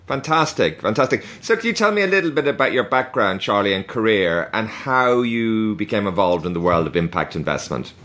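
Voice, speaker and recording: A male podcast host with a very low voice reads a formal, polished guest introduction. He then moves into interview questions in the same steady, scripted tone. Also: fairly quick, few pauses.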